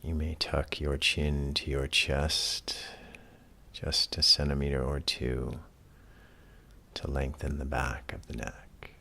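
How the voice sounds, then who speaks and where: A man leading a guided relaxation as a yoga teacher, speaking in a calm, measured, soothing way with long pauses between phrases. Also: rumbling low, vocal fry, a little hiss or hum.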